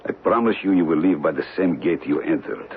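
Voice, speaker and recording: Very deep, gravelly, silky villain. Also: male voice, lively intonation. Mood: mocking. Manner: formal, deliberate, condescending menace. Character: menacing male villain, a silky cold-blooded killer with umbrella. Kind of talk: old-time radio drama acting. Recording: tinny, noisy old radio broadcast.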